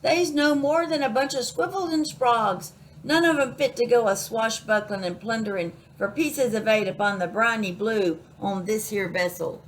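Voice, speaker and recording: High, squawky, bossy parrot acted by a woman reader for a storybook, some noise behind. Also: moderate pace, close to an everyday voice, rough recording. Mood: scornful. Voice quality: squawky, shrill. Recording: noticeable background noise.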